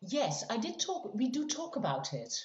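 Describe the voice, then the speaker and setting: A woman's voice: on the high side, wildly swooping pitch, normal speed, a little mellow. A woman from the Netherlands, a podcast guest chatting casually and emphatically in short bursts. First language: Dutch. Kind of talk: casual chat. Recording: echoey, muffled room sound.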